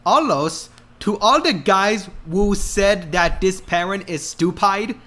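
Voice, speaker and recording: A man gives a comic dramatic reading of fan fiction. His voice is bright and fairly high, and he goes slowly, with exaggerated, halting delivery. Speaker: narrator.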